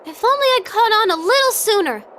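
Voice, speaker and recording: A woman's voice: very high-pitched, lively intonation, a little fast, bright, forceful tone, a little hiss or hum, exaggerated voice. An actress playing a perky, cheeky young girl agent in an anime-style video game, with a breezy, matter-of-fact manner. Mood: breezy, rueful.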